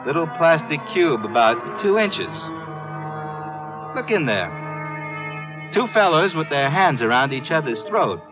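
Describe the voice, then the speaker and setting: A casual, wry storyteller from a vintage radio thriller, with a slightly high voice and very lively intonation. He is offhand about grim things, on a dull old recording with clear music underneath. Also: unhurried, pauses often. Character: laid-back, wry male storyteller, quietly macabre. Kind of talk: 1950s radio drama acting.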